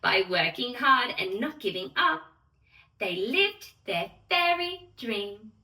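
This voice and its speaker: A woman's voice: a little high, big pitch swings, slow pace, sharp tone. A woman reading a bedtime story aloud to small children in a theatrical sing-song way, talking directly to her young listeners. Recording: roomy echo, distant microphone.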